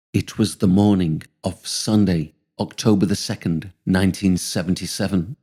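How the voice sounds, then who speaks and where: Low, mellow male storyteller. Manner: measured, literary storytelling. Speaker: author narrating his own story. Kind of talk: scripted first-person narration.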